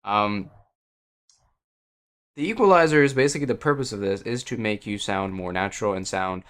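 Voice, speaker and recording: Guy on a headset mic rambling about audio stuff, kinda low voice, lots of ums and restarts. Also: normal speed, fairly bright tone. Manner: hesitant, many fillers and restarts, self-deprecating. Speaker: livestreamer explaining audio settings. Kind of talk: casual rambling tech explanation. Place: at a computer by a wall indoors.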